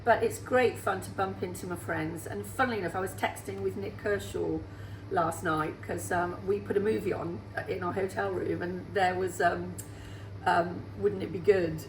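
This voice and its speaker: A woman's voice: on the low side, fairly even intonation, normal speed. A woman in her sixties, a singer being interviewed on radio, who talks in a chatty, upbeat way. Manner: chatty and upbeat, laughs easily. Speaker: singer guest. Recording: roomy sound with faint background hum.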